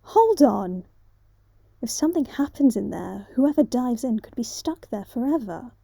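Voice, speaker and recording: A woman's voice: on the high side, animated, a little slow, creaky, mellow, no echo, full character voice. A woman voicing a formal, collected, reserved servant of a king in a fantasy video game. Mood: urgent warning. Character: formal, calm, controlled game host serving a king.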